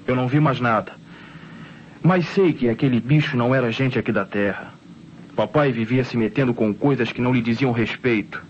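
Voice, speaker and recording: A man's voice: mid-range voice, fairly quick, lightly acted. An actor playing an insistent, convinced boy with a Brazilian accent in an old radio drama. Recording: dull, noisy old radio recording.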